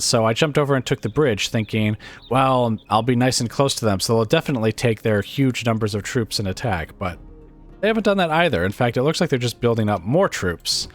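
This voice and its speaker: Gameplay commentator, man, very low voice, raspy from illness, hesitant and musing, faint game music underneath. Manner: musing, hesitant, thinks aloud with fillers.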